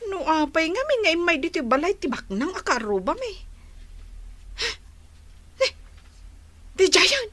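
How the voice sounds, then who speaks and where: A woman's voice: very high-pitched, fairly bright tone, full character voice. A woman acting a dutiful but exasperated young daughter, hesitant and exclamatory, with an Ilocano accent in a radio drama.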